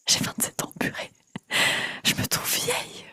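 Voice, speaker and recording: A woman in her twenties whispering close to the mic in a chatty ASMR video, rambling and repeating trigger words softly. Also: measured pace, no room sound. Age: 20s. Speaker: ASMR creator.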